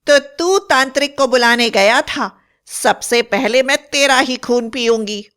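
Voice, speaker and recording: A bloodthirsty cheetah witch from a horror cartoon. The voice is high and crystal clear, drawing out each threat slowly and tauntingly. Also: a bit bright. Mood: furious, threatening. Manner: threatening, taunting, screeching delivery. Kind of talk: animated Hindi horror fairy tale voice acting.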